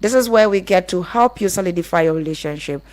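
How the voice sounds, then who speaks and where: A woman's voice: on the low side, fast talker, darkish tone. A woman with a Nigerian accent presenting a live radio call-in show in a conversational, emphatic way. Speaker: radio relationship show host.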